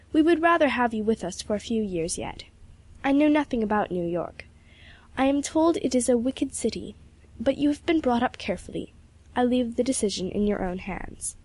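A woman's voice: a little high, a little mellow, husky, heavily acted. Woman playing a stern, proper older aunt. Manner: formal, measured.

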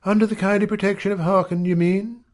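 A man's voice: high voice, fairly even intonation, normal speed, pitched above the performer's own voice. A man voicing a sardonic, intense, lovelorn woman with an abrupt, pointed manner in an audiobook. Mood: quietly sarcastic. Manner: abrupt, pointed, quietly sarcastic. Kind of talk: audiobook character dialogue.

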